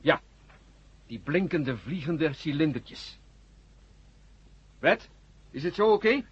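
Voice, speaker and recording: A man's voice: fairly high pitch, animated, slow pace, breathy edge, exaggerated voice. An actor in a 1970 radio drama playing an obedient, indifferent space crewman who speaks in a curt, dutiful way. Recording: muffled old radio recording with hiss.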